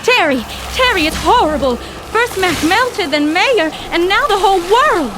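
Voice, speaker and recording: Woman acting a high, lively, plucky small-town gal. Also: a little slow, noisy background. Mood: alarmed. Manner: folksy old-fashioned slang. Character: plucky, brisk small-town woman, Sonya, loyal but alarmed. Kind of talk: audio drama acting.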